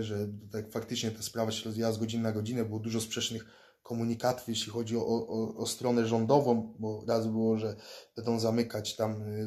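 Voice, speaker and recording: A man in his thirties talks casually to his phone camera while seated indoors, with a low voice that stays flat and even. He rambles and often circles back, repeating words as he restarts his sentences. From Poland. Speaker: fighter and self-defense instructor vlogger. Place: indoors, small room, seated in armchair. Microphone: phone or laptop camera close up.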